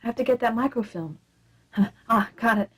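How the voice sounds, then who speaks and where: A coolly deadly secret agent in a comic radio play acted by a woman. Her voice sits fairly high, and she gives orders briskly with a straight, matter-of-fact deadpan. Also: breathy edge.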